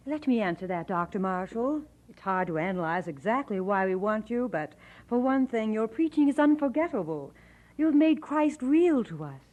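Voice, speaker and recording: An actress playing a gracious, admiring church committee member in an old-time radio drama, courteous and polished. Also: female voice, fairly high pitch, lively intonation, moderate pace, a little mellow, dull audio. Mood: admiring, earnest.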